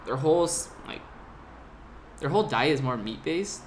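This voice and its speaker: Young male guest from California, animated and chatty, slightly high voice, faint background noise. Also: normal speed. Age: young adult. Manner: animated, laughing storyteller with lots of "like". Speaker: podcast guest. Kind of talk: casual storytelling.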